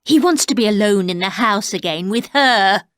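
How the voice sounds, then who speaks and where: A woman's voice: animated, dry recording, studio quality, close to an everyday voice. A woman doing a menacing, bitter housekeeper. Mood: cold menace. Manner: slow, low, cold, often whispered.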